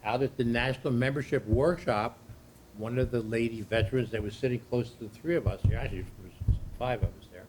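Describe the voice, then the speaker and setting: Man, workshop instructor, loud plain delivery; distant camera mic, noisy room. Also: medium pitch, fairly quick, roomy sound. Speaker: workshop presenter. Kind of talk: training workshop presentation. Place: meeting room with an audience.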